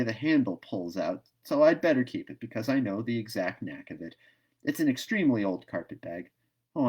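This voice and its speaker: A man's voice: medium pitch, husky. A man voicing a talkative, imaginative eleven-year-old orphan girl in a storybook, earnest and eager. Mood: cheerful, chatty. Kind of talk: storybook read-aloud.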